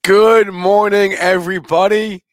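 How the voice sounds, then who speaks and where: Hoarse, upbeat man hosting a live show. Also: high-pitched, little pitch movement, measured pace, faint music behind. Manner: chatty, upbeat, many restarts. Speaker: host. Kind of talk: casual live show talk.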